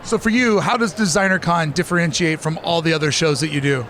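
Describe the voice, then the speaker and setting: Fast-talking man hosting a convention interview. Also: fairly high pitch, fairly bright tone, slight background noise. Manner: fast, upbeat, chatty questions. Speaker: podcast host interviewing at a convention.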